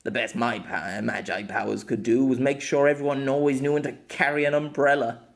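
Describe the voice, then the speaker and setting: A man voicing a wistful, self-deprecating former sword master in an audiobook, reminiscing in a halting way. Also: medium pitch, fairly even intonation, creaky, natural voice. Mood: amused, self-deprecating.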